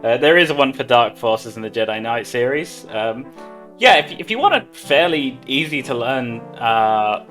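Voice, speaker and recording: A man's voice: mid-range voice, lively intonation, normal speed, fairly bright tone, faint music behind. A man casually commentating on his own live speedrun as he plays.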